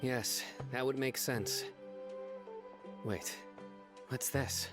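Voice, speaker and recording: A man voicing a stern, unbending young investigator in a video game, with a deadpan, clipped and resolute delivery. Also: medium pitch, drawn-out words. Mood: pensive. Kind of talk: video game dialogue acting. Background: background music.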